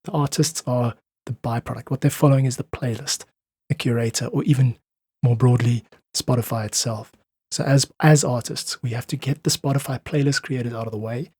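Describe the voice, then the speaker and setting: South african guy on a podcast, bit husky, dry close sound, making his points hard and casual. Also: medium pitch, steady tone, a little fast, a little mellow, dry recording. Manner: emphatic, persuasive, informal. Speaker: musician, podcast guest. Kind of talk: conversational interview, opinionated monologue.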